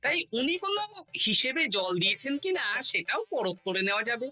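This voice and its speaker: An actor voicing a witty, caring male court jester in a cartoon, playful and expressive. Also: medium pitch, brisk, no room sound. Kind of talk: animated cartoon voice acting.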